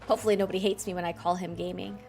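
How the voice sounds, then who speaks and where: A woman's voice: lowish voice, steady tone, rapid-fire, gravelly, darkish tone, soft background music. A woman game streamer giving casual, playful live commentary and reading bits out.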